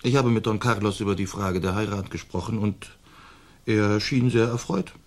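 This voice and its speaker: Male actor as young nobleman, slightly low voice, languid, plaintive, formal, dutiful, radio play. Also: moderate pace, a little mellow, natural voice. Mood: reluctant. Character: languid young nobleman, peace-loving, dutiful, weary son. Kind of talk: German radio play acting.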